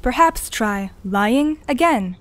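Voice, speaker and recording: A woman playing a calm, formal guide entity, speaking in measured, precise phrases in an audio drama. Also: on the high side. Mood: calm, procedural. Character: calm, formal artificial guide assisting an explorer. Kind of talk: audio drama mission briefing.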